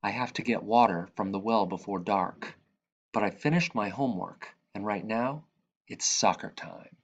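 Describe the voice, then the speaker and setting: A man reading a children's picture book aloud, expressively, with dramatic and deliberate phrasing. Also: fairly low pitch, muffled recording. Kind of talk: expressive read-aloud narration.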